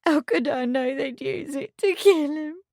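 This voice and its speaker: A woman's voice: high-pitched, unhurried, darkish tone, no echo, studio quality, pitched above the performer's own voice. A woman doing a hysterical young housemaid. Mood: frightened. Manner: rustic dialect, sobbing pleas.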